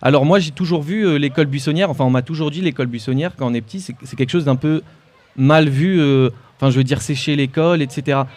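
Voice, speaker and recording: A man co-hosting a radio interview, asking long questions with halting repeats. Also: on the high side, a little mellow. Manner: halting, repeats words while forming questions. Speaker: radio co-host.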